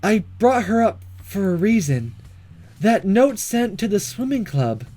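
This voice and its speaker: A man voicing an earnest, guarded schoolgirl from a visual novel, speaking in a hesitant, deliberate way. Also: high voice, big pitch swings, mellow, pitched above the performer's own voice. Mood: serious, hesitant.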